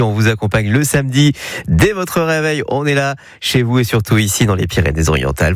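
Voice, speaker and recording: A man hosting a local radio show, running a call-in game in a chatty, jovial way, his pitch leaping up when he gives answers. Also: no room sound, studio quality. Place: radio studio. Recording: radio broadcast with faint music bed.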